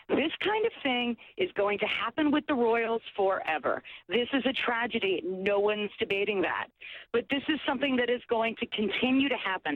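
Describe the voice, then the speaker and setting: A woman panel guest giving blunt opinion commentary, posing questions and answering them flatly. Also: fairly high pitch, no echo. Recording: tinny phone-like remote line.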